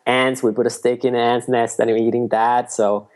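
A man, a guest in a podcast interview, explaining things in a steady way. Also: medium pitch, normal speed. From Finland. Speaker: guest, mushroom food entrepreneur. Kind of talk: casual interview talk.

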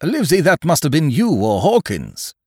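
A man's voice: medium pitch, sing-song, unhurried, gravelly, no echo, studio quality, pitched above the performer's own voice. A man voicing a hot-headed, indignant country squire in a blustering, exclamatory way for a storybook.

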